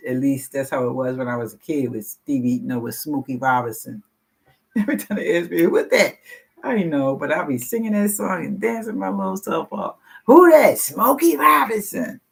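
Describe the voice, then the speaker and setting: A woman in her sixties with a deep, low voice, giving a rambling, frank personal share at a support meeting and swearing casually. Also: expressive, moderate pace, vocal fry, slightly rough. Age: 60s. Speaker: meeting participant sharing.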